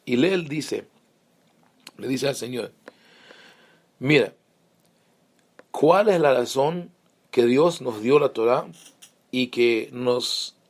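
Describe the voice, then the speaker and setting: A man's voice: mid-range voice, expressive, slow pace, pauses often. A man from Israel with an Israeli accent, a rabbi teaching an online lesson with many fillers and restarts. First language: Hebrew.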